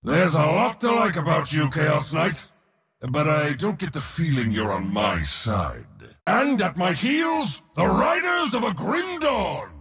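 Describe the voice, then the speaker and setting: An actor voicing a proud, battle-hungry god of war in a video game, with a theatrical, mocking, boastful delivery. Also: male voice, on the high side, big pitch swings, unhurried, creaky, a bit bright, long reverb tail, exaggerated voice. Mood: battle-roused, taunting. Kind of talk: video game character voice lines.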